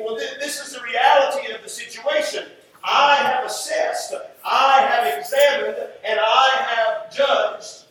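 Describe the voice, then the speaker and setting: An American man preaching a sermon in an emphatic style, with rhetorical repetition and deliberate pauses. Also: very high voice, a bit bright. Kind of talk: sermon to a congregation. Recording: echoey, distant mic, faint background noise.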